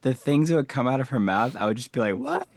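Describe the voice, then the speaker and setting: A man co-hosting a podcast in casual chat, trading wry, teasing reactions and drawn-out asides. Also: mid-range voice, expressive, gravelly, darkish tone, dry recording. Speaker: podcast co-host.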